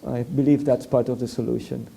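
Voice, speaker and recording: A man from Venezuela, a native Spanish speaker, talks through a policy point at a public forum. His voice is very dark and mellow. He stumbles often, filling gaps with "uh" and repeating words before he finds the thought. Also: mid-range voice, little pitch movement, a little fast, creaky, husky. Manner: hesitant, many fillers and restarts. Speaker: panelist.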